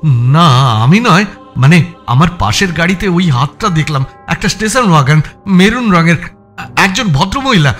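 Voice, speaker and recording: A man voicing an agitated, flustered male motorist in an audio thriller, speaking haltingly and urgently. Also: a little high, lively intonation, a bit bright, soft background music, voice pushed up. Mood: panicky, flustered. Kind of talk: audio story acting.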